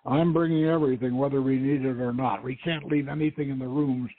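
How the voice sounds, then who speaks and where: A man's voice: medium pitch, steady tone, fairly quick, natural voice. Man playing terse adventurer, tinny radio sound. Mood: brisk, wary. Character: brisk, cautious male adventurer and treasure hunter. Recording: tinny band-limited radio stream, roomy echo.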